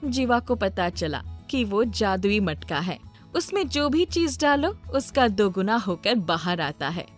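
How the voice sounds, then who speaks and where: A woman's voice: mid-range voice, big pitch swings, measured pace, faint music behind. A woman narrating a scripted storybook tale.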